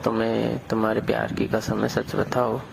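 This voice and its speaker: A man's voice: on the low side, monotone, moderate pace, creaky, noisy background. A young man playing a coaxing, suspicious lover who probes his sweetheart, as if on an intimate phone call. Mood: suspicious, insistent. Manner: coaxing, probing. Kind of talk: intimate phone-call dialogue in audio drama.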